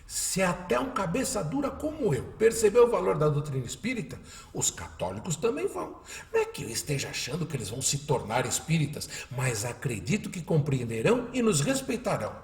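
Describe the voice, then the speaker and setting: A man acts a devoted small-town Brazilian husband in a radio drama. His voice sits a little high and swings expressively, folksy and reassuring. The room around it echoes noticeably. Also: huge pitch swings, fairly quick, vocal fry, darkish tone, exaggerated voice.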